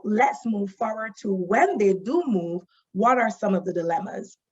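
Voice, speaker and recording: A woman's voice: mid-range voice, slightly rough, dull audio. A woman from Barbados with a Barbadian accent, giving a webinar talk as a social worker. She explains point by point and keeps checking in with "right?" and "you know". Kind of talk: webinar presentation. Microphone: video call.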